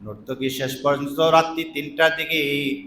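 High-pitched, impassioned preacher man, echoing. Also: steady tone, pushed and bright, a little hiss or hum. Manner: dramatic, drawn-out chanting calls that drop into low, pleading phrases. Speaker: Islamic preacher. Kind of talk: impassioned storytelling sermon. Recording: echoing PA-style sound.

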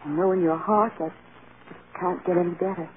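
An actress playing a devoted, anguished film star wife in an earnest, emotional 1930s radio drama style. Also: female voice, fairly low pitch, fairly even intonation, normal speed, natural voice. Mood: sad, resolved. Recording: scratchy, tinny 1930s radio broadcast.

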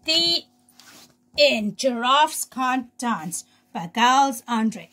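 A woman with a high voice reads a picture book aloud as a preschool teacher. She goes very slowly and expressively, explaining things simply for small children. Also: lively intonation, pushed and bright.

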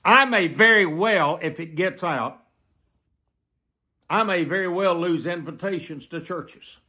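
An elderly man preaching to the camera in an emphatic, deliberate manner, often repeating phrases for weight. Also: high-pitched, pushed and bright, breathy edge. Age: 70s. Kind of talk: sermon to camera. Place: small plain room indoors.

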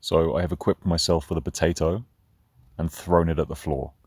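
A man's voice: a bass voice, fairly even intonation, fairly quick, darkish tone, husky, dry recording. A man in his thirties vlogging from a bush campsite, talking with dry, sarcastic humor. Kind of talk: deadpan camping vlog narration.

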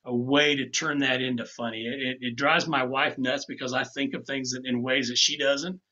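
A man's voice: mid-range voice, steady tone, normal speed, pushed and bright. A man, casually explaining woodcarving tool sharpening from his home office and often repeating words as he goes. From Tennessee. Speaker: woodcarving instructor. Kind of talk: casual demonstration talk. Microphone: webcam on a video call. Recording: dull lo-fi video call audio.

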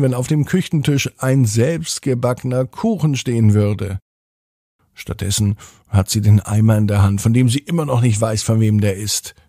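A man's voice: medium pitch, gravelly, dark tone, husky, no echo, clean studio sound. A man hosting a children's podcast, narrating a bedtime story expressively and speaking directly to children.

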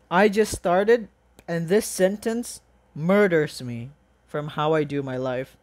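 A reaction video host chatting casually and excitedly in a rambling, exclaiming, informal way. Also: mid-range voice.

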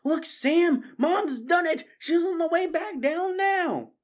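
Guy doing a proud squirrel dad in a storybook, super high, excitable, joyful. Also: slow pace, full character voice. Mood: jubilant. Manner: jubilant, exclaiming.

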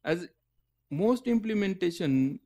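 A man reading a scripted technical lecture in halting phrases, often breaking mid-sentence. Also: high voice, expressive, warm tone. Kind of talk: scripted technical narration read aloud.

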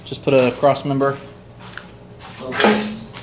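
A man's voice: medium pitch, a little slow, recorded from a distance, slight background noise. A middle-aged man casually explaining a woodworking build in his garage workshop, with plenty of ums. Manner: relaxed, explanatory, frequent ums. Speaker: DIY vlogger. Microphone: camera mic from a distance. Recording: echoey garage, off-mic.

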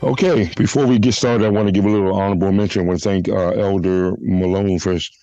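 A man hosting a church podcast, hoarse from a flu, making announcements and leading a prayer with halting restarts. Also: deep voice, steady tone, dark tone, muffled recording, soft background music. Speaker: podcast host. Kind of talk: casual church podcast chat.

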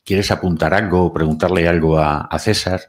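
A man's voice: sits low, fairly even intonation, normal speed. A man moderating a book presentation in a library hall, giving short approving remarks and passing the floor to others. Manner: brief, approving interjections.

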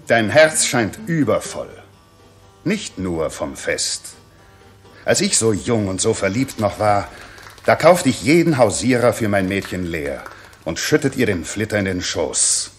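An actor playing a dignified, probing grey-bearded stranger in disguise in a 1960s German radio play. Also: male voice, fairly low pitch. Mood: probing, genial.

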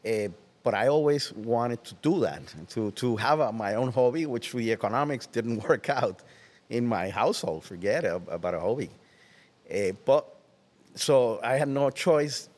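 An older man from Puerto Rico, speaking English with a Puerto Rican Spanish accent, tells stories as an interview guest in a relaxed way, often trailing off into soft asides. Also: mid-range voice, moderate pace, in a large hall. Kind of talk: casual interview. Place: large watersports shop, indoors. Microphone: headset.

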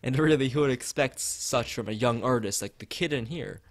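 A man's voice: medium pitch, moderate pace, close to an everyday voice. A man voicing a blunt, doubtful art critic in a visual novel, with a questioning manner. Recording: music behind the voice.

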